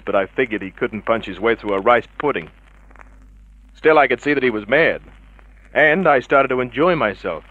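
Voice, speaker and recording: A man playing a wry, tough private eye, deadpan and wisecracking, in a hard-boiled radio drama narration. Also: medium pitch, dry recording, lightly acted. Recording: dull vintage radio drama recording.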